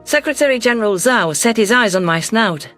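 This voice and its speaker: Guy narrating sci-fi drama, pretty high voice, bit of music behind. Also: expressive, fairly quick, fairly bright tone. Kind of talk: dramatic scripted science fiction narration. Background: faint music.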